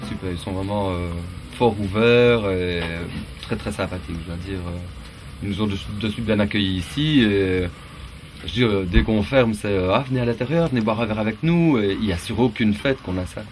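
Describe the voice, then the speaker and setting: A man's voice: lowish voice, normal speed, slight background noise. A man, a mobile food vendor, chatting plainly about the village. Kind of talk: street vox pop. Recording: distant mic, loud music.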